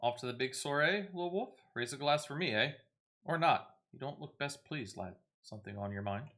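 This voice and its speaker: Guy doing a gruff sailor veteran from a fantasy rpg, blunt n sweary, folksy talk, forceful voice. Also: medium pitch, lively intonation, a little fast. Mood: teasing, concerned. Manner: blunt, cursing, folksy dialect turns. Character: gruff, salty male seafaring veteran commander. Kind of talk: reading game dialogue in character.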